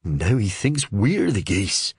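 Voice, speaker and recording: A man's voice: on the low side, sing-song, takes it slowly, darkish tone, slightly rough, no echo, studio quality, pitched above the performer's own voice, exaggerated voice. A man voicing an inexperienced young deputy who makes wry, joking asides. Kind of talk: audiobook character voice.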